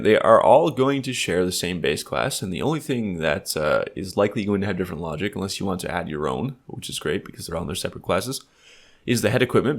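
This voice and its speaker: A man's voice: low voice, creaky. A man with a stuffed-up, slightly nasal voice, explaining code casually in a tutorial, thinking aloud with lots of fillers. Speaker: game development tutor. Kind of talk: screen-recorded coding tutorial.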